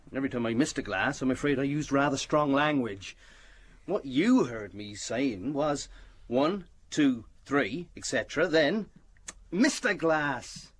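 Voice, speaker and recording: An actor playing a modest, eager British amateur magician in a radio drama, chatty, hesitant and apologetic. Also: male voice, medium pitch.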